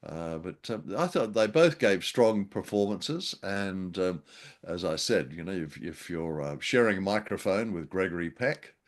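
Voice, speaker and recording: Older man, low voice with lively swings. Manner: jokey, wry, halting delivery. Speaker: podcast co-host. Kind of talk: casual chat, reviewing an old radio drama.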